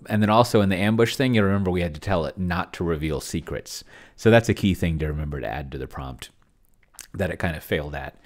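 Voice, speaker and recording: A middle-aged man giving a casual, off-the-cuff review, rambling and hesitant as he thinks aloud into a close desk microphone. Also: sits low. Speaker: solo role-playing video host. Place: small home office, indoors. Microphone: large desk microphone close to the mouth.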